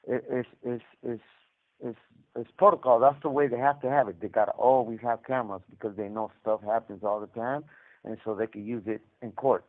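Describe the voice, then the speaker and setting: A man's voice: mid-range voice, no room sound. A man calling from prison over a phone line, talking casually and repetitively about himself, with a lot of conversational filler. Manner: conversational, repetitive, peppered with "man" and "you know". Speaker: caller, prison inmate telling his story. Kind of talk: casual, unscripted personal storytelling. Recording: tinny, band-limited prison phone line.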